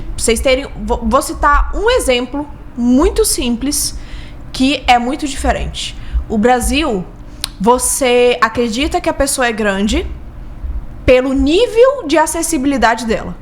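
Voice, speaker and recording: A Brazilian woman with a fairly high voice talks in a casual interview style. She is emphatic, doubling back on key words to drive them home. A little noise sits behind her. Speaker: podcast guest, business consultant.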